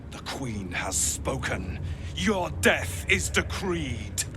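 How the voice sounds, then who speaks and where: Merciless alien executioner, imperious, very slow delivery, wildly swinging pitch, menacing game villain, very loud music. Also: male voice, big pitch swings. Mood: commanding fury. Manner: imperious, menacing, terse decrees. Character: cold, merciless male alien warrior executioner. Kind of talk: dramatic video game acting.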